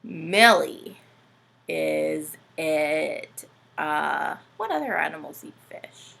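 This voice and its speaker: A woman presents a storytime for young children in a bright, very creaky voice. She talks playfully and puts lots of questions to her listeners. Her delivery is unhurried, with big swoops in pitch. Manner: animated, addresses children with frequent questions. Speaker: children's read-aloud host with a puppet sidekick.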